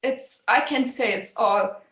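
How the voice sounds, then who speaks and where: A woman from Germany, calling in over a video call and speaking in a halting, heartfelt way with frequent pauses. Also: on the high side, a bit bright, roomy sound. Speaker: caller. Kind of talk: emotional call-in sharing.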